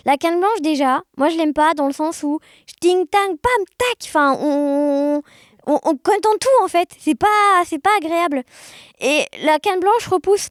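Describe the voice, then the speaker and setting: Young woman, high voice, dry close sound, casual animated storytelling. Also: no room sound. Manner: animated, expressive, uses sound words. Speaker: podcast guest.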